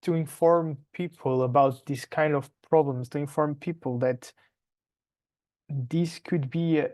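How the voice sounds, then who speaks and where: A young man from Portugal with a Portuguese accent, giving conversational interview answers in a halting way, searching for words and repeating phrases. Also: on the high side, steady tone, darkish tone. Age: young adult. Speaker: podcast guest, polar science PhD student.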